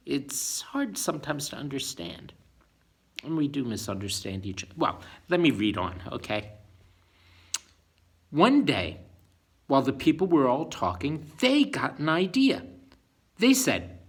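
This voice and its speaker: A man telling a children's bedtime story in an expressive way, with chatty teacherly asides and questions to young listeners. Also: a little high, unhurried, creaky, long pauses, slightly rough.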